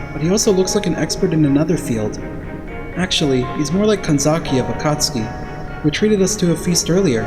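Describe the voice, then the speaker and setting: A man's voice: on the high side, close to an everyday voice. Man as an earnest, puzzled young idol, music behind. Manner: serious, pondering, literal-minded. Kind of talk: anime-style fan dub acting.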